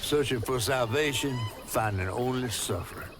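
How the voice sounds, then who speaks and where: A man's voice: medium pitch, moderate pace, lightly acted. A man voicing a folksy, caring talking fish in a video game, with a Southern US accent and country turns of phrase. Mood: grave warning. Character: folksy talking fish, male, caring, nosy storyteller companion. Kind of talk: video game character acting. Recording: noisy water and boat ambience behind.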